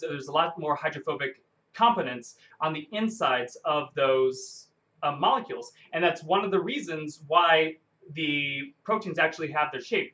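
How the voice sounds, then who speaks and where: A man giving an unscripted, explanatory science lecture, halting and often correcting himself as he goes. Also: on the high side, bright, forceful tone, muffled recording.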